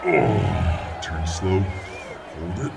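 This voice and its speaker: A man playing a dominant, cocky fireman boyfriend in an ASMR roleplay, a tough, devoted muscleman with a gravelly, swaggering, grunting delivery. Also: low-pitched, animated, distant mic, a loud crowd, very noisy, full character voice. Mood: straining, exhilarated. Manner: breathy grunting, swaggering, drawn-out exertion. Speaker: audio roleplay performer.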